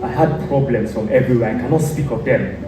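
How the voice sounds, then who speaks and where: A man's voice: medium pitch, fast, natural voice. A man playing a troubled royal nobleman in a stage drama, speaking in an emphatic, declamatory way. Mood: troubled, guarded. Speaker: student stage actor. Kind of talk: theatrical stage acting. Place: indoor theatre stage. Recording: echoey theatre from far off, music.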